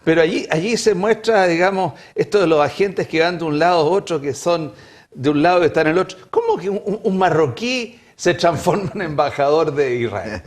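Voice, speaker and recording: A man's voice: on the high side, lively intonation, fairly quick. Rambling male TV interviewer. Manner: rambling questions with fillers. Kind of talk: TV interview, asking questions.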